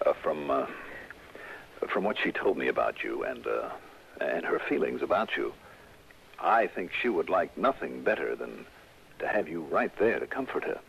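An American actor playing a shrewd, brisk insurance investigator in a 1960s radio detective drama, speaking crisply and deliberately. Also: male voice, rumbling low, very animated, a bit bright, slight background noise, heavily acted. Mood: gently probing. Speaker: lead actor and narrator. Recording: dull old radio broadcast, music behind.

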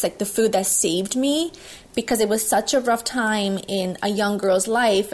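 A loud, enthusiastic woman in her thirties from Italy, talking openly as an interview guest. She speaks without holding back and sounds sure of herself. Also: fairly high pitch. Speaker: guest, cooking video host. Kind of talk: candid interview storytelling.

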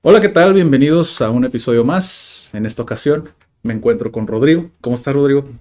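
A young man hosts a relaxed conversational podcast. He talks loosely, circling back and restarting his phrases with fillers at a mid pitch. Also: brisk. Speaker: podcast host. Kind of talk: casual conversational interview.

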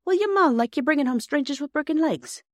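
A woman's voice: sits high, expressive, quick pace, dry recording, clean studio sound, pitched above the performer's own voice. A woman voicing a practical grown farm bailiff with plain-spoken rustic dialect in a storybook reading. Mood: doubtful.

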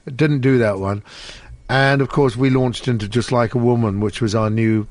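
A man's voice: mid-range voice, fairly even intonation, moderate pace, darkish tone, no room sound. An older man, a musician guest, telling wry anecdotes in easygoing conversation. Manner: chatty, wry storyteller.

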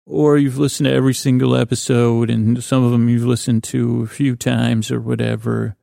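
Very creaky, soothing man, rambling softly. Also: mid-range voice, level tone, no echo, studio quality. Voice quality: creaky, gravelly. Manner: meandering, halting, self-interrupting; lulling, gentle delivery. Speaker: podcast host. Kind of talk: rambling, soothing bedtime monologue.

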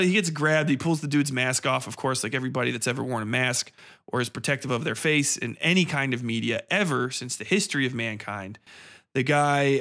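A man's voice: medium pitch, sharp tone. A man hosting a podcast gives a casual, profane film review in a sarcastic, irreverent, rambling way, leaning hard on phrases for emphasis.

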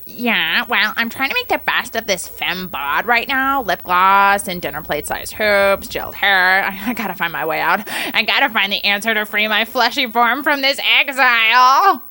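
A woman's voice: mid-range voice, lively intonation, moderate pace, few pauses, sharp tone. A woman voicing a dramatic emo youth who rambles, then drops to whispers or flares into outbursts. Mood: earnest rambling.

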